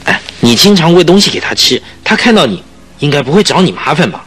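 An actor playing a cunning, smug murderer in a radio drama, explaining himself with self-satisfied emphasis. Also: male voice, fairly high pitch, big pitch swings, quick pace, a bit bright, slightly rough, heavily acted. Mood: reassuring. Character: cunning, smug male murderer boasting of his scheme. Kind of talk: Mandarin radio drama acting.

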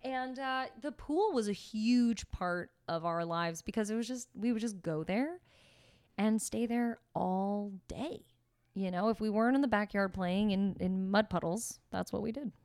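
A woman's voice: medium pitch, measured pace, clean tone, no room sound. Chatty woman telling childhood stories. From Staten Island, New York, then Pennsylvania. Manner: animated storytelling with playful bits. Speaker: podcast co-host. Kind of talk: casual chat.

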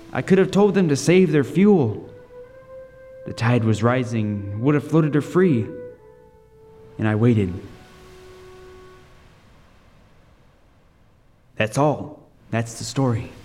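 A man's voice: mid-range voice, darkish tone, close to an everyday voice. Man acting haunted lighthouse keeper, echoing hall. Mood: haunted suspense. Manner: deliberate, dramatic, with suspenseful pauses and repetition. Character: haunted lighthouse keeper recounting an ordeal, grimly tense. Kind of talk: radio drama first-person narration. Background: music.

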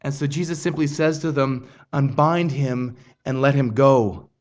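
A man preaching a sermon in an earnest, measured way, often restarting his phrases. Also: medium pitch. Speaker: pastor.

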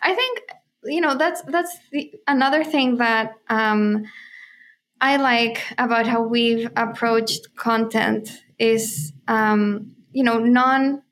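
Female podcast host from Chile, Spanish as first language, somewhat high pitch, conversational, feels her way through sentences. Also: slow pace, a bit bright. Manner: hesitant, thinking aloud in short fragments. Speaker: podcast host, crypto journalist. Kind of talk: casual chat.

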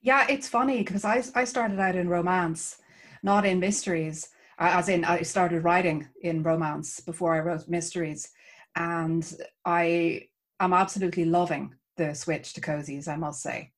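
A woman from Ireland with an Irish accent, an author chatting warmly about her writing as an interview guest. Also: lowish voice, fairly even intonation, moderate pace. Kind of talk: casual interview chat.

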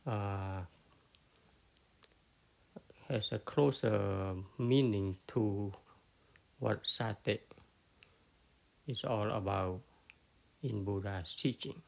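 A man with a Cambodian accent talks through a Buddhist teaching in an unhurried, searching way. His low voice carries a gravelly fry, and he pauses often, restarting phrases with fillers as he looks for the right English word. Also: darkish tone. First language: Khmer. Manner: halting, many fillers and restarts. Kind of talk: unscripted dharma talk.

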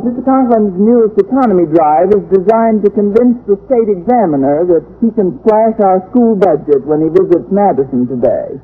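A woman's voice: medium pitch, lively intonation, moderate pace, few pauses, husky. An actress playing a wisecracking woman English teacher with dry, sardonic delivery in an old radio sitcom. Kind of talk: 1940s-50s radio sitcom acting. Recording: noisy, tinny old-time radio recording.

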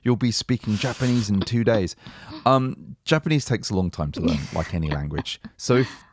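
A man's voice: lowish voice, animated, normal speed, slight background noise. A man hosting a language-learning podcast, upbeat and chatty, laughing often. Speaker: podcast host. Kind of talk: casual podcast chat.

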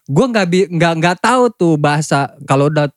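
A man from Lampung, a parody content creator, chatting as a podcast guest in a relaxed, agreeable way. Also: fairly high pitch, normal speed, dry recording, clean studio sound. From Lampung, Indonesia. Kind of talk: casual podcast chat.

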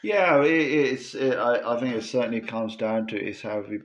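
A man's voice: medium pitch, animated, moderate pace, dull audio. A man answering questions in a casual chat, hesitant and full of restarts.

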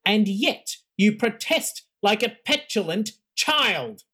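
A woman's voice: mid-range voice, very animated, takes it slowly, fairly bright tone, breathy edge, pitched above the performer's own voice, full character voice. A narrator voicing a stern, commanding woman who heads an imperial academy, speaking with cutting scorn. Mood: scornful.

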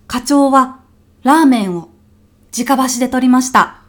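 A woman's voice: high voice, unhurried. A woman playing a polite, strict junior office organizer in a comic radio drama, coolly businesslike as she tallies up fines. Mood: stern, matter-of-fact. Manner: polite, businesslike, matter-of-fact.

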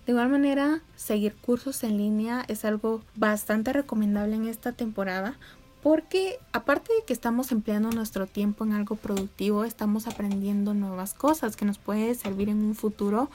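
A woman's voice: medium pitch, normal speed, a little mellow. A young woman, chatting casually and upbeat to her viewers at home. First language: Spanish. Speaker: vlogger. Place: home room with neighbors' animals outside.

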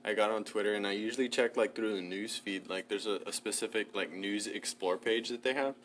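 A man's voice: fairly low pitch, steady tone, brisk, a bit bright, a little hiss or hum. A young man co-hosting a podcast, talking casually and rambling, filling sentences with "like" and the odd swear. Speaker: podcast co-host. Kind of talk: casual chat.

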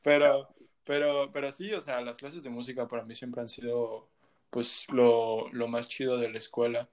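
A man's voice: medium pitch, steady tone, normal speed. A young man from Mexico with a Mexican Spanish accent, a guest musician telling his story in casual, slangy chat full of fillers. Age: young adult. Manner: rambling, slangy, frequent fillers.

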